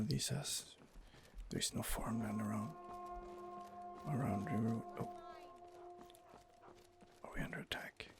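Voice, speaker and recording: Gravelly whispering man. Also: lowish voice. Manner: soft, hesitant whisper with many fillers. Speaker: let's play host. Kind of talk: whispered ASMR gameplay commentary. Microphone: close home microphone.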